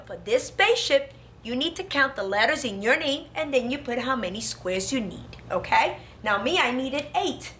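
High, very lively woman teaching preschoolers. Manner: sing-song, child-directed, exclamatory. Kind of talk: instructional craft lesson for young children. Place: indoor preschool classroom. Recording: roomy classroom echo, faint background noise.

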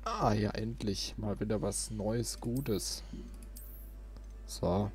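A man's voice: lowish voice, slow pace, darkish tone, a little hiss or hum. A man casually commentating as he plays a video game. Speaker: let's player.

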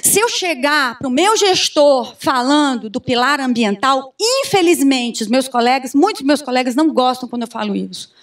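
A Brazilian woman in her thirties giving an animated conference talk on a handheld mic, often asking the audience questions. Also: sits high, normal speed, hardly any pauses. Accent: Brazilian Portuguese. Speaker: conference speaker. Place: large conference room with audience. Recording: distant room sound from far camera.

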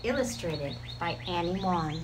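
A middle-aged woman reading a children's picture book expressively, with drawn-out, dramatic phrasing. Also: on the low side, lively intonation, background noise. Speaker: storyteller. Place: outdoors on a paved porch.

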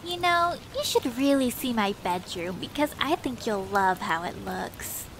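A woman's voice: fairly high pitch, expressive, unhurried, a bit bright, a little hiss or hum, rough recording. A woman voicing a sweet, adoring lady cartoon beaver, gushing and affectionate in a fan fiction reading. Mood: flirty.

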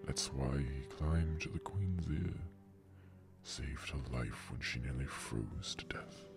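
A man playing a weary, resigned old male dragon who speaks in a heavy, halting, gravelly and breathy voice in an audio drama. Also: rumbling low, lively intonation, music in the background, exaggerated voice. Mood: bitter, weary.

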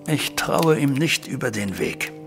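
A middle-aged widowed banker in an audio drama, worried and disappointed as a father. His mid-pitched voice has a gravelly creak. He tells his account formally and deliberately, over music. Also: sing-song, fairly bright tone, full character voice. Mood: melancholy. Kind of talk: German audio drama acting, recounting events. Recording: music bed behind voice.

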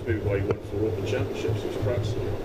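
A man's voice: lowish voice, fairly even intonation, quick pace, distant mic. A man in his thirties giving a casual guided tour of a small shop, explaining things with many fillers and restarts. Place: small clothing shop, indoors. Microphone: camera mic at a distance. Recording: crackly, roomy, from off-mic.

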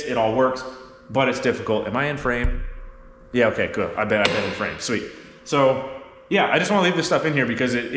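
A man's voice: medium pitch, a bit bright. A man in his thirties giving a casual, candid hands-on install walkthrough to camera in a garage workshop. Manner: candid, informal, explanatory. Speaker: mechanic and video host. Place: roomy indoor garage workshop. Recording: echoey garage, clear background noise, clicks.

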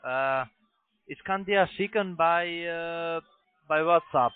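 Through a narrow, tinny phone connection comes a fairly high-voiced man with a foreign accent. He is a non-native speaker giving a sales pitch, halting often and starting his broken sentences over. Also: clean tone, no echo. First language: not German. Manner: halting, broken German with repeated restarts. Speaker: phone sales agent, investment scam caller.

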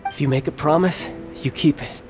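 A young man voicing a confident, teasing warrior who is wounded yet calm and protective of his younger brother. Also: a little high, moderate pace, music in the background, natural voice. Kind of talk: game voice acting.

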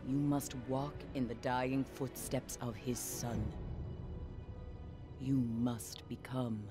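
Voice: medium pitch, steady tone, slow, natural voice. An actor playing an ominous mystic lore-keeper, narrating a video game cutscene solemnly. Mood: grave. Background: music.

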